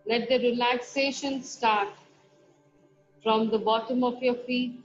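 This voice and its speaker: A woman with a fairly high voice leads a guided meditation, speaking very slowly and softly with long pauses between phrases. The recording is dull and lo-fi, with faint music underneath. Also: fairly even intonation. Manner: soft, slow instructions with long pauses. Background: soft background music.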